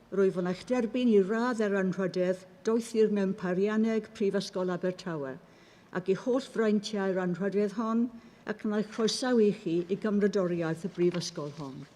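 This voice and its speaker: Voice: medium pitch, warm tone. A chancellor solemnly reading out a formal degree conferral, first in English and then in Welsh. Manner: solemn, formulaic delivery.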